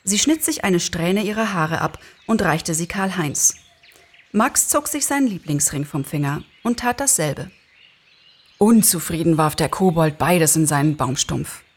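A woman narrator reading a story aloud in an expressive, scripted style. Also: lowish voice, unhurried, husky.

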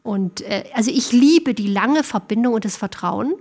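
A middle-aged woman, a singer, talks thoughtfully in a casual interview with frequent pauses and restarts. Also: a little high, lively intonation, darkish tone. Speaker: guest singer.

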